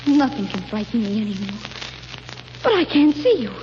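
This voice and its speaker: A woman's voice: medium pitch, very animated, normal speed, natural voice. A woman playing an anguished, crippled young boy in a 1930s radio drama, pleading breathlessly and tearfully. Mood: desperate, wary. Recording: muffled, noisy 1930s radio recording.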